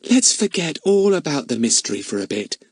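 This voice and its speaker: A man voicing a gentle, musing young girl. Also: fairly high pitch, sing-song, brisk, slightly rough, no echo, pitched above the performer's own voice.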